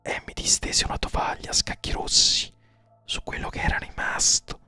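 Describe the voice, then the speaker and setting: A man narrating a horror story in a hushed, breathy, suspenseful and theatrical voice with measured pauses. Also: whispered, soft background music. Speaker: audiobook narrator.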